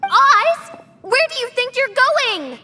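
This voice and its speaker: A theatrical young princess in an anime game. The voice is high and forcefully bright, speaking in slow, grandiose, formal phrases over a music backing. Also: female voice, very animated, slight background noise, full character voice. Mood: indignant, shaken. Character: theatrical young girl princess facing her cruel dark double.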